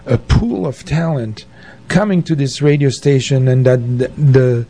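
A man with a soft, mellow voice answers in an unscripted radio interview. His pitch sits in the middle for a man, and he often pauses and restarts as he looks for the right word. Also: a little hiss or hum. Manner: halting, searching for words. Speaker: guest, community radio and arts centre founder. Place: radio station.

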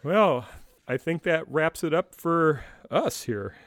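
A man's voice: fairly high pitch, animated, slow, fairly bright tone. A man co-hosting a podcast in casual discussion, often with short, agreeable replies. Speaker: podcast co-host.

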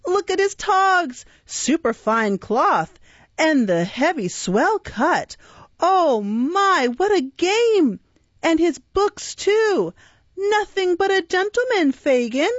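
A woman reading an audiobook, voicing a boisterous teenage boy pickpocket who crows and mocks in a shrill voice, on a dull, dry recording. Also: very animated, slow, dry recording, heavily acted. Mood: gleeful. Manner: shrill, gleeful, crowing.

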